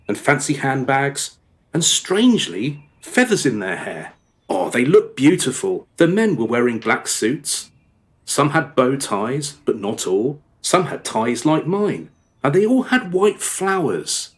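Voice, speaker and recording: An actor playing a remorseful married father in a radio play, confessing his past in a rambling, hesitant, over-descriptive way. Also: medium pitch. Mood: wistful, reminiscing. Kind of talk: radio play dialogue, storytelling monologue.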